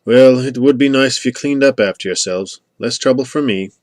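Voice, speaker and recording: A man's voice: mid-range voice, moderate pace, dry recording, clean studio sound, lightly acted. Man voicing a weary old guard dog, resigned. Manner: resigned, plain-spoken. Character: weary old male guard dog, conservative, mildly grumbling.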